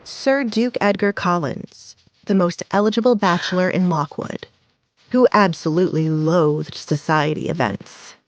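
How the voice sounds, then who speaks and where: A woman narrating a romance audio drama in a measured, even storytelling manner. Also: lowish voice, highs cut off.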